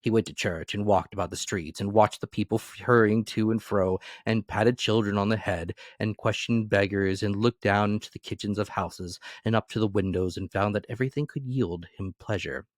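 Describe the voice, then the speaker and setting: A man narrating a classic novel as a dramatic reading, expressive and animated. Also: on the low side, normal speed, few pauses, dry recording, studio quality.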